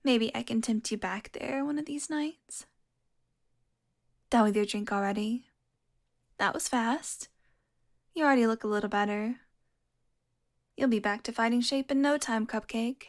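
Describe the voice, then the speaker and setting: Woman playing a slow, sultry, teasing vampire. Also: a little high. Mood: playful, flirty. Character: teasing, seductive female vampire, smugly tender toward prey. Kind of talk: intimate audio roleplay, addressed to one listener.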